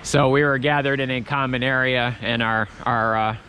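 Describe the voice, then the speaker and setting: A man's voice: medium pitch, steady tone, moderate pace, pushed and bright, slight background noise. A man vlogging as he walks through an outdoor Christmas market, chatting in a rambling way with many restarts. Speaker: travel vlogger. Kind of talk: casual walking commentary.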